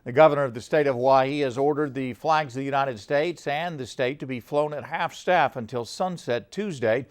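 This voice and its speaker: A man's voice: mid-range voice, moderate pace, hardly any pauses. Guy anchoring tv news, medium voice, reading scripted stories. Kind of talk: scripted newscast delivery.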